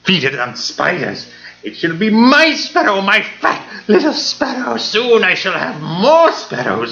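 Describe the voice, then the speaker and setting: A man's voice: sits high, fairly bright tone, dull audio, full character voice. A wheedling, giggling madman. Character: mad asylum inmate, grovelling then menacing man. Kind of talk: radio play acting. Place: stage before a live audience.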